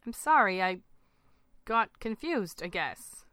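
A woman's voice: mid-range voice, very animated, slow pace, bright, forceful tone, no echo, clean studio sound, full character voice. A woman voicing an eager, chummy family dad who coaxes emphatically in a story reading. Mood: sheepish. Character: eager family dad growing confused and unsettled.

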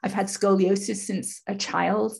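A woman in her fifties with a husky, creaky voice, earnestly telling her personal story as an interview guest. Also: mid-range voice, fairly even intonation, unhurried. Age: 50s. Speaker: podcast guest, spiritual teacher.

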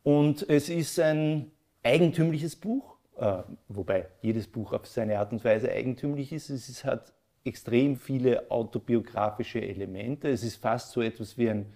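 A man hosting a live literary talk, speaking in a halting, searching way. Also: medium pitch, dark tone. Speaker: interview host. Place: living room of a former residence, indoors.

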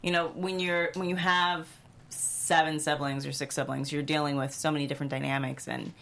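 A woman's voice: deep voice, level tone, fairly quick, creaky, fairly bright tone. A woman chatting casually as a panelist in a group discussion. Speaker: table read panelist.

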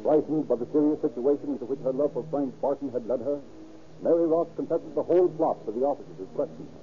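Rapid-fire old-time radio crime narrator, man. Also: mid-range voice, lightly acted. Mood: grave, urgent. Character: urgent, formal male narrator of a crime case. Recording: tinny old radio recording. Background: music.

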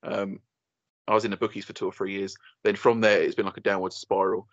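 A man's voice: sits low, level tone, fairly quick, dry recording. A young man co-hosting a podcast, telling rambling, sweary stories and laughing often. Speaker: podcast co-host. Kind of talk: casual banter. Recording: dull lo-fi dry sound.

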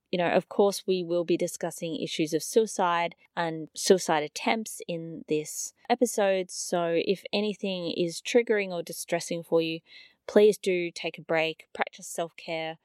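Brief: woman podcaster, slightly low voice, bit raspy and nasal, earnest and chatty, dry close mic. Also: no room sound. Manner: earnest, chatty with frequent fillers. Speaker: podcast host. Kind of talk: podcast episode introduction.

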